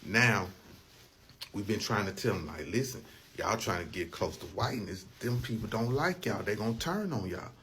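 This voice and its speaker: A man's voice: lowish voice, lively intonation, moderate pace, fairly bright tone, a little hiss or hum. An American man giving an impassioned, informal rant, emphatic and colloquial, repeating himself and posing rhetorical questions. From United States.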